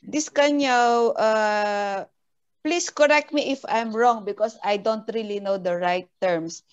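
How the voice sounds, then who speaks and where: A woman from Benguet in the Philippines talks on a video call. She speaks slowly and pauses to search for words, mixing languages. The audio comes through dull and muffled. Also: mid-range voice, clear, resonant tone. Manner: unhurried, searching for words, code-switching. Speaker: community member guest. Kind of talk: casual online panel talk.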